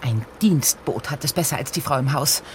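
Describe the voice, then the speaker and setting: An actress playing a haughty housekeeper turned scholar's wife, indignant and self-important, in a radio sketch. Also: female voice, rumbling low, normal speed. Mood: indignant complaining. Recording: car and traffic noise behind. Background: vehicle ride ambience.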